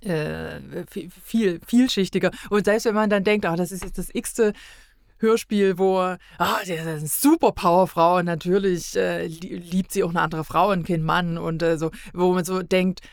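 A middle-aged woman chats as a podcast guest in a slightly low voice. She speaks in a searching, halting way, often pausing to find her words. The sound is very dry. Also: fairly even intonation, gravelly, dry recording. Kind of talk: casual conversational discussion.

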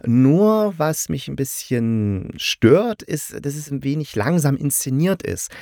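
A man with a medium-pitched voice hosts a solo review podcast. He talks in an informal, conversational way and gives his opinions directly to the listeners. The recording is dry, with very little room sound. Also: sing-song, slow, vocal fry, dark tone, no room sound. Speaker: podcast host reviewing audio dramas.

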